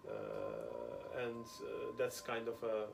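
Man from Turkey, gravelly voice, hesitant with many pauses, podcast guest, faint noise. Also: fairly low pitch, sing-song. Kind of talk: casual interview chat.

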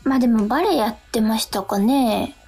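A woman's voice: a little high, brisk, darkish tone, husky. A young woman co-hosting a radio show, politely reading mail and chatting in a responsive way. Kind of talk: reading listener mail and casual chat. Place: radio studio. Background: music.

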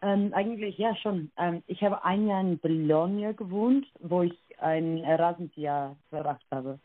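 A woman's voice: lowish voice, unhurried, no echo. A young Irish woman with an Irish accent, answering interview questions hesitantly, with many filler sounds and self-corrections. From Ireland. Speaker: interview guest. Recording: tinny phone-like line, dry.

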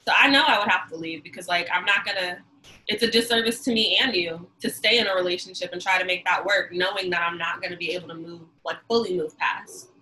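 A woman in her twenties talks frankly and with emphasis as a guest in a casual podcast chat. Her voice is bright and forceful. A faint hiss of background noise sits under her. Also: fairly low pitch, fairly even intonation, fast talker, rough recording. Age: 20s.

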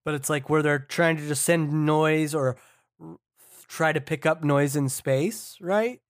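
A woman's voice: deep voice, a little slow, no echo, clean studio sound. A woman co-hosting a podcast, thinking aloud in a casual, informal chat about comics. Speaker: podcast co-host. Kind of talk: casual comic book discussion.

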